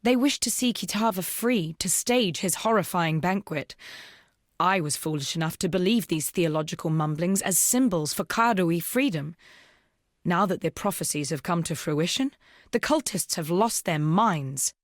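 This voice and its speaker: A woman playing a solemn, proud rebel survivor in a dark fantasy game, speaking formally and with measured, archaic phrasing. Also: lowish voice, animated, no room sound, clean studio sound, close to an everyday voice. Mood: bitter, grave. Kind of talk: scripted video game character dialogue.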